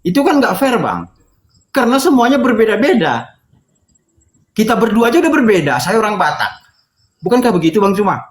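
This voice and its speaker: An echoey recording of a man. His pitch moves in wide, animated swings as he debates informally, laying out explanations and illustrations. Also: fairly quick, long pauses. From North Sumatra, Indonesia. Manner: explanatory, argues through illustrations. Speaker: debate participant.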